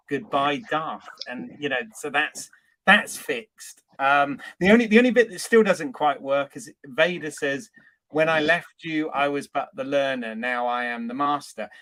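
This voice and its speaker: A chatty man hosting a podcast, his voice sitting a little high. He talks easily and laughs off his own nitpicks. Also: bright, forceful tone, poor audio. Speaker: podcast host. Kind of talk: casual podcast discussion.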